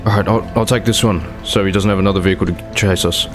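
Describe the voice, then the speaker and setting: A man's voice: low-pitched, steady tone, fast talker, over music, close to an everyday voice. A man playing a cautious, take-charge survivor of a zombie outbreak in an improvised video game roleplay. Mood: urgent.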